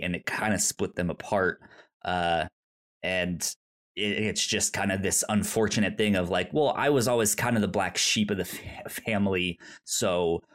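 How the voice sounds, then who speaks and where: Male podcast co-host, slow talker, stammering and repetitive delivery, casual conversational style. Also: deep voice, a bit bright. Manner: halting, stammers and repeats syllables. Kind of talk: casual film discussion chat.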